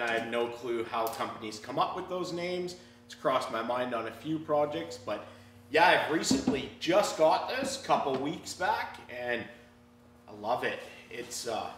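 A man's voice: medium pitch, a bit bright. Male hobby woodworker, thirties, informal vlog delivery; roomy workshop sound with some noise behind him. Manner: informal, enthusiastic, slangy. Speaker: hobby woodworker giving a shop tour. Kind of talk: casual unscripted vlog chat. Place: garage-sized woodworking shop, indoors. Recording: echoey room with background noise and clicks.

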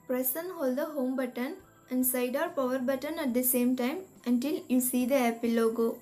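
High-voiced woman narrating a tutorial. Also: moderate pace, mellow. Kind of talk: scripted step-by-step tech tutorial narration. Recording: voice-over with music behind. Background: background music.

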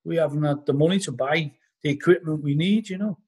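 Middle-aged scouse guy on a video call from his living room, husky voice, a bit higher than most men, rambles through stories w lots of "you know". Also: a little fast, darkish tone. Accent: Liverpool. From Liverpool, England. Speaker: podcast guest, band vocalist. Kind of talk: casual storytelling interview. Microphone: laptop webcam on a video call.

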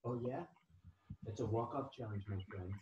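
A man's voice: lowish voice, normal speed, a little mellow, husky. A man playing a vain, touchy young male model with a cocky, taunting manner in an amateur comedy table read over a video call. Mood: cocky, challenging. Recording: muffled, noisy video call.

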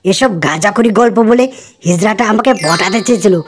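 A woman's voice: medium pitch, moderate pace, close to an everyday voice. A woman voicing a greedy, stingy tigress who scolds and bosses others around. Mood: scheming. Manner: scolding, coarse and bossy.

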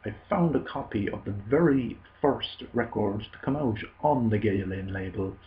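A man in his thirties with a Cork Irish accent, showing records to a webcam at home, halting with many ums and restarts. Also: lowish voice, lively intonation. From Ireland. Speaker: vinyl collector vlogger. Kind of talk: casual show-and-tell. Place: small indoor room at home. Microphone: webcam at desk. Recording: dull lo-fi webcam audio.

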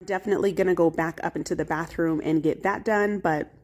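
A young woman narrating her home cleaning vlog in a relaxed, chatty voice-over, full of fillers. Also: lowish voice, a little fast. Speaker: cleaning vlogger.

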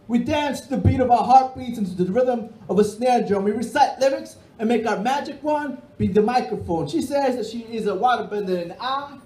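A middle-aged man from Peru performing spoken-word poetry on a dark bar stage into a stand microphone, with a rhythmic, emphatic delivery. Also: very high voice, brisk, few pauses. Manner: rhythmic, emphatic delivery with sweeping hand gestures. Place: dark stage of a bar and music hall, indoors. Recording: roomy hall sound.

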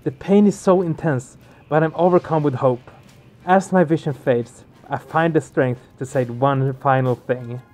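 A man's voice: on the high side, a little slow, a little mellow. A man hosting a game let's play, expressively reading the story aloud and reacting to it.

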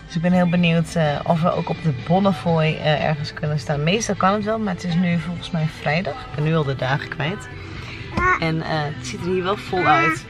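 Dutch female vlogger, thirties, slightly low voice, casual chat in a vehicle, music behind. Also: fairly quick. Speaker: family travel vlogger. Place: inside a parked camper cab. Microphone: handheld camera.

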